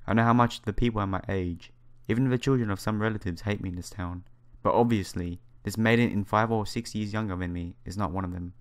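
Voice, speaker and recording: A man reading visual novel text aloud as a let's player, adding his own asides in halting phrasing. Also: fairly low pitch, steady tone, clean tone. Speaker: video game let's player. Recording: music behind the voice. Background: game music.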